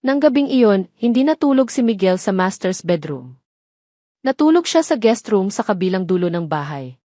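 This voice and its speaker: A woman's voice: medium pitch, big pitch swings, normal speed, dark tone, no room sound, clean studio sound. A woman narrating a dramatic romance story from a script.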